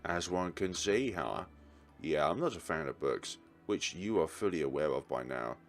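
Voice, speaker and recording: Male let's player: deep, expressive read of game text, casual reactions, light music behind. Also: moderate pace. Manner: casual asides and short reactions. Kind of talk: reading game narration and dialogue aloud.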